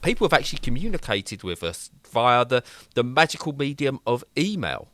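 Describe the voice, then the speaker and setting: An English man co-hosting a gaming podcast, bantering in an animated, joking way with lots of quick restarts. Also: mid-range voice, soft background music. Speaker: podcast co-host. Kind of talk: casual banter.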